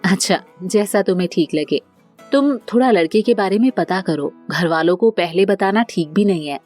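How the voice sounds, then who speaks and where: A woman's voice: medium pitch, normal speed, a little mellow, faint music behind, close to an everyday voice. A woman voicing a caring, sensible elder sister-in-law who advises and reassures, in a cartoon family drama. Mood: supportive.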